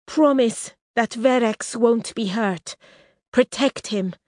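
A woman voicing a proud, demanding young princess in an audiobook reading, speaking high and forcefully. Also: slow pace, dry recording, studio quality. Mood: insistent.

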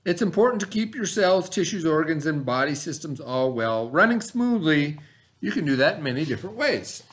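A middle-aged man with an American accent reads aloud to young pupils as their teacher. His voice sits fairly high and moves up and down in a lively way, with an expressive, instructional delivery. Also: a little hiss or hum. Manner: expressive, instructional, pauses to ask the listener questions. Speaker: elementary school teacher. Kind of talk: read-aloud lesson for children. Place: small indoor classroom, at a desk.

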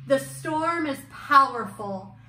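Young woman, higher-pitched voice, unhurried, expressive story-time teacher, child-directed, echoey room. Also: noisy background. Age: young adult. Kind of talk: picture book read-aloud and discussion for young children. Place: room at home, indoors. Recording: roomy echo, music underneath.

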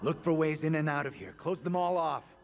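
Urgent, commanding professor. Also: male voice, a little high, fairly even intonation, fairly quick, gravelly. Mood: grim, firm. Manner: urgent, clipped, authoritative. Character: grim, commanding middle-aged professor under threat. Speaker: actor in a horror video game. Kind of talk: dramatic game cutscene acting. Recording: dramatic music behind.

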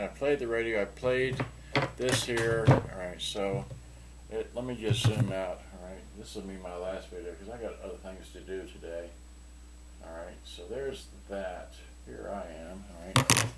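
An older man giving a rambling, offhand product demonstration in a casual way. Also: on the low side. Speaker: seller demonstrating used electronics. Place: small cluttered indoor workshop. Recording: clearly noisy room, clicks of handled switches.

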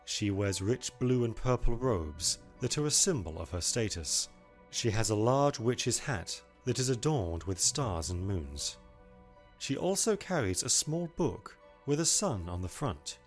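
A man's voice: on the low side, sing-song, measured pace, soft background music. A man giving scripted narration for a visual novel story.

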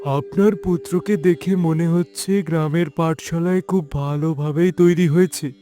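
A man's voice: on the high side, fairly even intonation, unhurried, music in the background, close to an everyday voice. A man voicing a dignified college professor in a cartoon, formal and authoritative in manner. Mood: approving. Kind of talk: Bengali moral cartoon voice acting.

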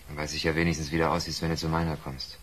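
A man's voice: extremely low pitch, little pitch movement, rapid-fire, a bit bright, a little hiss or hum. An actor playing a bitter, sardonic young male prisoner in a radio drama. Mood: sarcastic.

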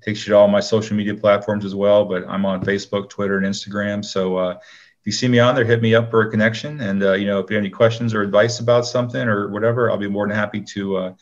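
A man's voice: on the low side, flat delivery, fast. A man giving casual, modest and earnest answers as an interview guest. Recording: dull lo-fi line.

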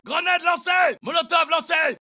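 An actor playing a forceful soldier under fire, giving terse, urgent combat callouts for a dubbed military game. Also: male voice, very high-pitched, steady tone, moderate pace, full character voice.

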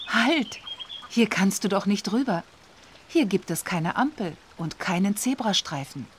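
A woman's voice: medium pitch, expressive, unhurried, slight background noise, close to an everyday voice. A woman voicing an encouraging, watchful guide in a children's game, emphatic and instructive. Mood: warning. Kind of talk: children's educational game voice-over.

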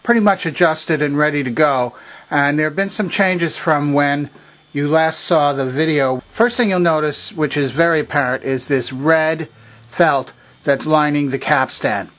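A man's voice: a little high, unhurried, fairly bright tone. An older man narrating a hands-on repair demonstration in a casual, unscripted, methodical step-by-step way. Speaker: presenter of a vintage electronics repair video. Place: indoor workbench.

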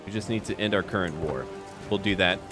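A man's voice: low voice, fairly quick, over music. A man doing casual let's play commentary on a strategy game, musing to himself and addressing the characters in short remarks. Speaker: gaming video creator playing a strategy game.

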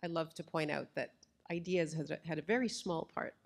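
Creaky-voiced woman interviewer, fairly low. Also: a little fast. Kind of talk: conversational interviewing on stage. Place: indoor stage with wood-slat backdrop. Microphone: microphone on boom stand.

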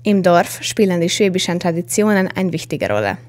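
A young woman reporter reading a scripted television news voice-over in an even manner. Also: lowish voice, fairly quick. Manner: even, read-out delivery.

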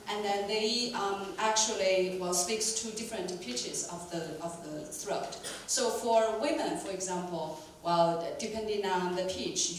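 A woman's voice: mid-range voice, slight background noise. A woman moderating and interpreting at a live talk, hesitant with many ums, in a lecture room. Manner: hesitant, lots of ums while translating. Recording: echoey room, distant mic.

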